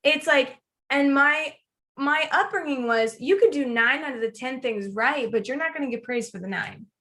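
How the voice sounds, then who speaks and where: Bright, high-voiced woman telling stories. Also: moderate pace. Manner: animated, acts out quoted lines, emphatic repetition. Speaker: podcast host telling personal stories. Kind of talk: casual conversational storytelling.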